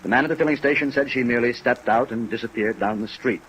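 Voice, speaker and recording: A man's voice: mid-range voice, quick pace, natural voice, low-quality recording. An actor playing an earnest, dignified older American man jilted by his fiancée, speaking formally in 1950s radio drama style. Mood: insistent. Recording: 1950s radio recording with rain noise. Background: steady rain sounds.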